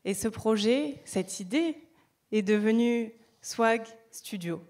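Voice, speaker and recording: A young woman founder giving a prepared stage talk, measured, with rhetorical questions and light humor. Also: on the high side, lively intonation, a little mellow. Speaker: project founder presenting.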